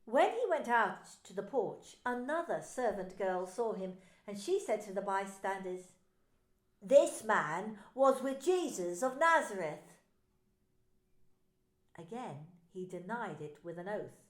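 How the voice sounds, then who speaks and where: A woman minister narrating scripture in an expressive, deliberate way. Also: mid-range voice. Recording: echoey room.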